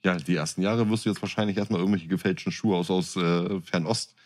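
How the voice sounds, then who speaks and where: A man's voice: sits low, fairly even intonation, fast talker, darkish tone. A man with a German accent talking casually and informally as a podcast co-host.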